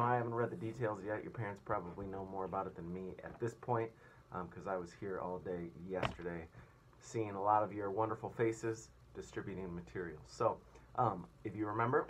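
A man's voice: on the low side, a bit bright. A man in his thirties, a teacher reading a children's story aloud in a small indoor room. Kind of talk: storybook read-aloud narration. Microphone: device at about arm's length. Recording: clear room noise.

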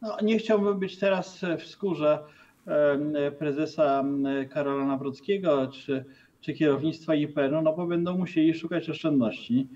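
A man's voice: fairly high pitch, fairly even intonation, moderate pace, dark tone. A middle-aged man commenting as a guest over a video call from a room with bookshelves, hesitant, with many fillers and restarts. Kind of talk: remote TV interview commentary. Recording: distant, dull video-call sound.

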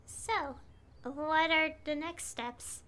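A woman's voice: sits high, extremely slow, bright, forceful tone, a little hiss or hum. A woman doing casual live game-stream commentary, chatting and reading dialogue choices aloud. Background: game music.

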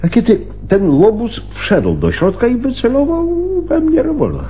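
Flustered shopkeeper, wildly varying pitch. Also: male voice, huge pitch swings, slightly rough, heavily acted. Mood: agitated. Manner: hesitant, flustered, halting. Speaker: radio drama actor. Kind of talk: radio play acting. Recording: narrow, phone-like radio recording with hiss.

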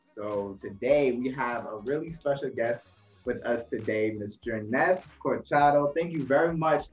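A man's voice: medium pitch, measured pace, faint music behind. Young man hosting in a roomy room. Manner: relaxed, friendly plugs and shout-outs. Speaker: podcast host. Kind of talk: casual podcast hosting. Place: living room studio. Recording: roomy, dull-sounding room audio.

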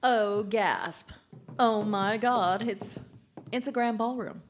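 An actress playing a pompous, bossy famous writer in a live radio drama, gushing and imperious in a theatrical manner. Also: female voice, mid-range voice, slow pace, a little hiss or hum, close to an everyday voice. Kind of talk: old-time radio drama acting.

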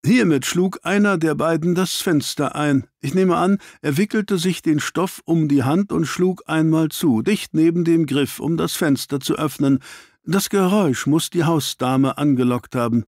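A man reading an audiobook, voicing an analytical, ironic gentleman detective with precise, clipped statements. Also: fairly high pitch, moderate pace, no room sound, studio quality, pitched above the performer's own voice, close to an everyday voice.

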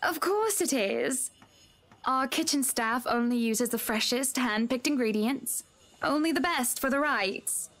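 An actress playing a wealthy, teasing, sharp-tongued young wife in a visual novel, chatty and pointed. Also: female voice, high voice, a little slow. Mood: indignant.

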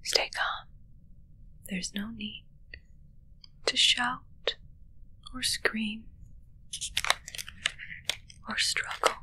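A young woman playing a calm, controlling witch in an ASMR roleplay, speaking in a slow, breathy, menacing whisper close to the mic. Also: fairly high pitch, full character voice, rough recording. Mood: calm menace. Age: young adult. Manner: slow, hushed, soothing yet menacing. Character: sinister, calm, controlling witch who raises corpse familiars. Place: small dark curtained room indoors. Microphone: close mic, whispering near camera.

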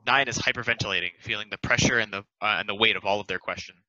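A man's voice: fairly low pitch, steady tone, racing, pushed and bright, no room sound. A young man reading stage directions aloud as scripted narration over a video call. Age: young adult. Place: home room, over a group video call. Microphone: headset on video call. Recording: dull, band-limited video call.

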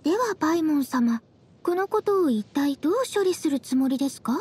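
Young female traveler, very high voice, gentle, composed, polite, quietly teasing, anime game. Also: lively intonation, fairly quick, slight background noise. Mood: gentle, reflective.

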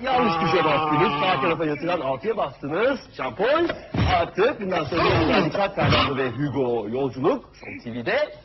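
Very animated, high-pitched male game show host. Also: moderate pace, heavy background noise. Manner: excitable, encouraging. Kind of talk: live game show commentary. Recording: dull TV audio, loud game music. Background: cartoon game music.

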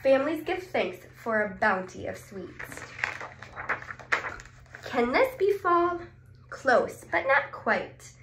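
A woman in her twenties reads a picture book for small children, with exclamations and simple questions. Her voice is fairly high and her delivery unhurried. It is recorded in an echoey small room with noticeable background noise. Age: 20s. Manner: expressive, exclaiming, asks questions and pauses before answering. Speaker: speech therapist. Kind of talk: animated read-aloud of a children's picture book, with questions and answers. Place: small indoor clinic room. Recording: roomy echo, clear background noise.

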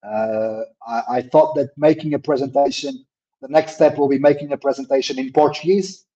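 A man's voice: steady tone, normal speed, warm tone. A man hosting a webinar over a video call, answering questions in hesitant full of fillers and restarts. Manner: hesitant, many fillers and repeated words; says his own voice is a little deep. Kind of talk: live webinar Q&A. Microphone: laptop mic on a video call. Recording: dull lo-fi call audio.

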